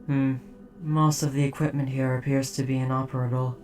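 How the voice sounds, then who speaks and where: A performer voicing a dutiful robot soldier in an audio drama, speaking in a formal, precise, measured and softly hesitant way. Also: mid-range voice, flat delivery, recorded from a distance, faint music behind, natural voice. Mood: gentle, dutiful. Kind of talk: scripted roleplay audio drama.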